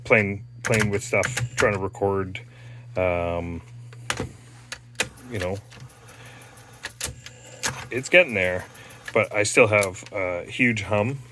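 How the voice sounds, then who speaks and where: A man with a very low voice talks slowly through his hobby repair work. He rambles in a casual show-and-tell way, halting often with fillers and restarts. A faint noise sits behind him. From Canada. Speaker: hobbyist restoring a tape machine.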